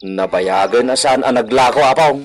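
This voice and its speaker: A man's voice: mid-range voice, normal speed, lightly acted. A man with a Filipino accent playing a respectful, earnest younger ranch hand in a radio drama. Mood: curious.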